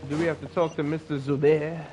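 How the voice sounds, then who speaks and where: A man's voice: fairly high pitch, level tone, brisk. A man giving laid-back, slangy commentary as he plays a video game and reads along. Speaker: streamer playing a game. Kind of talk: casual gameplay commentary. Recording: game music behind.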